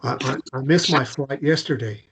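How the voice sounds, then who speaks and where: A man playing an awkward, gently joking American man in love in a naturalistic romance drama read, hesitant and stumbling over his words. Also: medium pitch, normal speed, very noisy, natural voice. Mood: tender, tentative.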